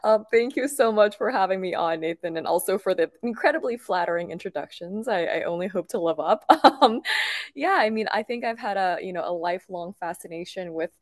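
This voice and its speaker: A woman from Thailand speaking as an interview guest, telling personal stories in a chatty, expressive, conversational way. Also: mid-range voice, fairly quick, dry recording, studio quality. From Thailand, grew up in Bangkok.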